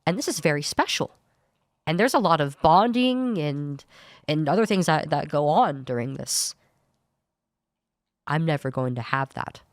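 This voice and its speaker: A young woman with a low voice that is hard to place as male or female, giving an earnest personal account as a podcast guest, often restarting her sentences. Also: lively intonation, unhurried, long pauses, no room sound, clean studio sound. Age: young adult. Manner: earnest, searching, many restarts. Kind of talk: personal account in studio talk show.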